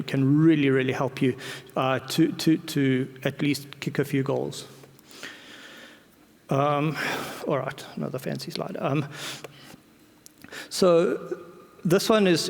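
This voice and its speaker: Male presenter, gravelly edge, casual and self-deprecating, stumbles and restarts, echoing hall sound. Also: medium pitch. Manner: hesitant, often repeats words and backtracks. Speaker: conference speaker. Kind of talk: informal conference presentation. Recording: reverberant hall recording.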